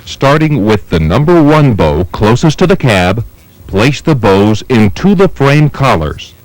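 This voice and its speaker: A man reading scripted instructional narration for a training film in a measured, methodical manner. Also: mid-range voice, very animated, a little mellow, no room sound, low-quality recording. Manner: measured, methodical, step-by-step delivery.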